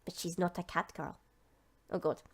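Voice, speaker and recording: A woman hosting a game playthrough, groaning quietly between lines she reads. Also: on the low side, fairly even intonation, moderate pace, creaky. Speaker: let's play host. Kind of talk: playthrough commentary.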